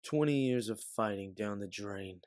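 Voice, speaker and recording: Guy doing a weary older bounty hunter, gentle and protective, earnest audio drama, lowish voice, dry sound. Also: a little slow, no echo, natural voice. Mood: weary, frank. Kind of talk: dramatic audio fiction acting.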